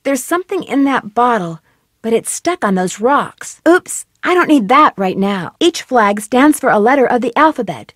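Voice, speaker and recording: A woman's voice: a little high, no echo. An actress playing a curious young female amateur detective in a video game, reading studio voice lines. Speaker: game protagonist. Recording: dry studio voice recording.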